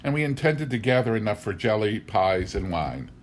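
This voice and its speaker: A man narrating a humorous memoir as an audiobook, with wry, measured storytelling. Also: on the low side, big pitch swings, slight background noise. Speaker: audiobook reader.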